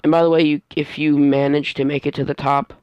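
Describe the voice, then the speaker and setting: A man giving casual let's play commentary as host, rambling and halting as he often loses his train of thought. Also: fairly high pitch, little pitch movement, highs cut off. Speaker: gaming video host.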